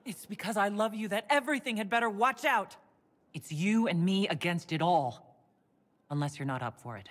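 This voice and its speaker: An actress voices a female warrior hero in a fantasy video game, with a middling pitch. She is composed and caring with her partner. Her delivery is measured and gently probing. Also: natural voice. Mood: tender, resolute. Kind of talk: video game cutscene acting.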